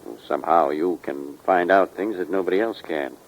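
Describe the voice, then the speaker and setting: A man's voice: low voice, normal speed, highs cut off. A man playing an earnest, businesslike insurance adjuster in a 1940s radio drama. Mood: earnest, persuasive.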